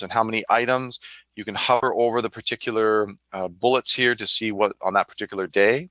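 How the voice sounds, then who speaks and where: A man's voice: on the low side, no echo. Man presenting over a tinny phone line. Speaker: software demo presenter. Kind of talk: live online product demonstration, walkthrough. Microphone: phone or web conference call.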